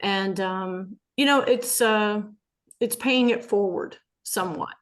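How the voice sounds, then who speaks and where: A woman's voice: medium pitch, slow. Woman, hesitant, reflective, video call. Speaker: participant. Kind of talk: casual video call discussion.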